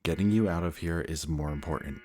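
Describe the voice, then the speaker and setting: A man acting a possessive, anxious antihero villain in an emotional audio roleplay, halting and intense as he mutters. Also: deep voice, mellow, natural voice. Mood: relieved, frantic concern. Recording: music behind the voice.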